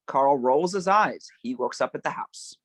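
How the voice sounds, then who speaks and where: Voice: medium pitch, animated, unhurried, a bit bright. A narrator reading out scene descriptions and stage directions at an informal script table read.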